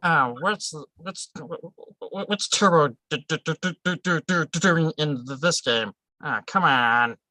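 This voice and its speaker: An actor playing a flustered, stammering video-game character in an animated film table read. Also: medium pitch, unhurried, few pauses, dry recording.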